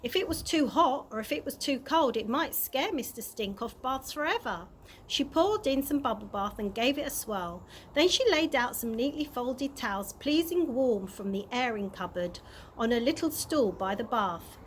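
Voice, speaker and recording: A woman narrating a children's chapter book aloud in an expressive storytime style. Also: a little high, normal speed. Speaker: early years practitioner reading a story. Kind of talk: animated children's audiobook narration. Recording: clear background noise.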